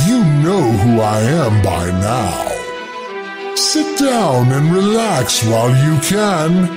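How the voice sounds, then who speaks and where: A sinister, ghoulish horror-host librarian, drawls very slowly with theatrical menace. The delivery swings widely in pitch and taunts with glee, over loud music. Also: male voice. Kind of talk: horror anthology host introduction. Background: loud music score.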